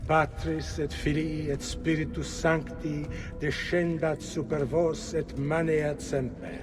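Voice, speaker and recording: An actor playing a solemn priest among conspirators in a video game. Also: male voice, fairly high pitch, little pitch movement, a little slow, natural voice. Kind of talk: video game character acting.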